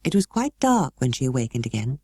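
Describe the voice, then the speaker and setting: A British woman reading a storybook as narrator, in a measured and expressive way. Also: a bass voice, breathy edge, no room sound, studio quality. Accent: British English. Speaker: audiobook narrator.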